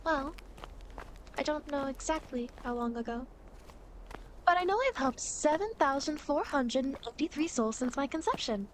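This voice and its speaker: Casting: actress as smug, playful female demon guide for ASMR roleplay, high voice with lively swings, teasing and easily affronted. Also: normal speed, a little hiss or hum, poor audio. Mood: wry, explanatory. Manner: teasing, smug, mock-indignant.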